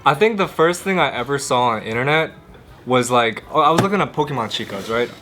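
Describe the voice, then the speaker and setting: A man's voice: medium pitch, lively intonation, quick pace, background noise. A young adult man, a guest in a casual chat, telling stories in a loose, rambling way with lots of fillers. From born in Japan, military family. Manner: laid-back, rambling with fillers. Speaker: interview guest.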